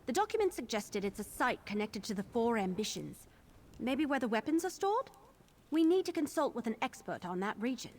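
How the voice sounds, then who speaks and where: An actress playing a determined young adventurer in a video game, speaking in an earnest, emphatic, fiery way. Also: female voice, fairly high pitch, very animated, moderate pace. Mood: earnest, focused. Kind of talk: video game character dialogue.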